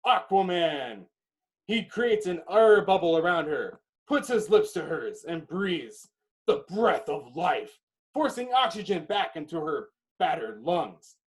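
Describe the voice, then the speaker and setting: A man narrates an action script dramatically. His voice sits slightly high, and he breaks the directions into punchy, clipped phrases with pauses. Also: very animated, poor audio. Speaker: narrator reading stage directions.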